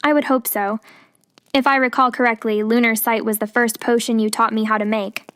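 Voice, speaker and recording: A woman's voice: on the high side, fairly even intonation, a little fast, fairly bright tone, clear, resonant tone, no room sound, natural voice. A woman voicing a kind young potion-making witch in a storybook reading, friendly and lightly teasing.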